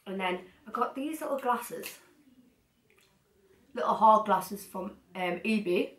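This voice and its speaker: A young woman sitting on her living room floor, chatting excitedly to a camera set some distance away and rambling off into asides. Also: mid-range voice, slow pace. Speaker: vlogger. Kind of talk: casual haul chat to camera. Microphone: far camera. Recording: echoey room, mic at a distance.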